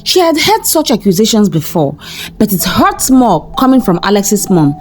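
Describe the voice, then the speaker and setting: A woman narrating a scripted folktale in an expressive, dramatic storytelling manner. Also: medium pitch, normal speed, faint music behind.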